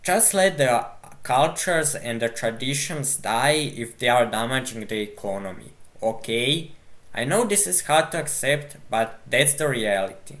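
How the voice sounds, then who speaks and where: Slow, blunt man. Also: mid-range voice, lively intonation, a bit bright. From Croatia. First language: Croatian. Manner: blunt, argumentative, slow and deliberate. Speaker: video commentator. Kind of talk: responding to a video.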